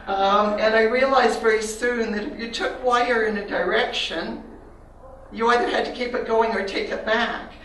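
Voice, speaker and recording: An older woman with a Canadian accent gives a reflective, personal slide talk about her artwork to an audience, pausing with fillers. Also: fairly high pitch, steady tone, fairly bright tone, a little hiss or hum. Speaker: artist giving a talk. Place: large echoey room. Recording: very echoey hall from a distance.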